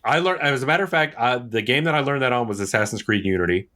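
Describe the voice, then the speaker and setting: A man with a medium-pitched voice talks casually as a podcast co-host. He is opinionated and leans hard on his points, often saying them again for stress. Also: lively intonation, fast talker, pushed and bright.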